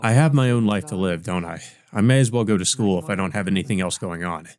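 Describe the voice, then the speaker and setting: A man's voice: deep voice, fast talker, darkish tone. A man hosting a let's play, reading a visual novel aloud with casual asides. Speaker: let's play host and reader.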